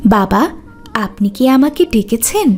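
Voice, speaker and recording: A woman's voice: fairly high pitch, expressive, a little slow, darkish tone, pitched below the performer's own voice. A woman voicing a meek, obedient eighteen-year-old daughter in an Islamic cartoon, speaking politely and submissively. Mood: respectful.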